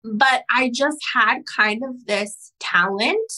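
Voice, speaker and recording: A woman in her thirties whose first language is Spanish, telling her story in an interview in a frank, animated way and swearing casually. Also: on the high side, slow pace, low-quality recording. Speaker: guest entrepreneur.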